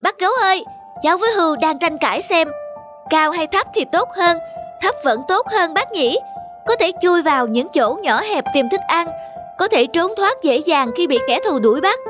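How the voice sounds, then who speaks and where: A woman's voice: very high voice, animated, moderate pace, music in the background. A woman voicing a perky, argumentative young cartoon goat. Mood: boastful. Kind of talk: cartoon voice acting.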